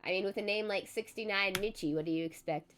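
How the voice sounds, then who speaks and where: A woman hosting a live stream in casual chat, congested and recovering her voice, chatty and quick to laugh. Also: medium pitch, fairly bright tone, a little hiss or hum. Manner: chatty, laughs often, self-deprecating. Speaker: streamer host.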